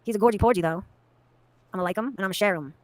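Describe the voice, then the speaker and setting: A woman hosting a livestream, excitable and profane, laughing often as she chats. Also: mid-range voice, very fast, no echo. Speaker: livestream host. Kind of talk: casual livestream chat.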